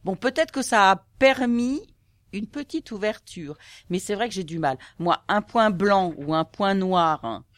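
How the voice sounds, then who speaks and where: A woman's voice: mid-range voice, unhurried, no room sound, studio quality. A woman speaking casually in an interview, hesitant and searching for her words. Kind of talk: casual personal testimony.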